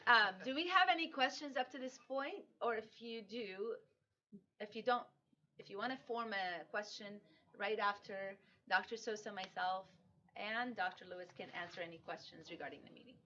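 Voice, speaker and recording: Female presenter for an informal meeting update, halting delivery, mid-range voice, dull lo-fi sound. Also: mid-range voice, pushed and bright. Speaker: school district meeting presenter. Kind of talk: informal staff briefing. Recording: muffled, noisy room recording.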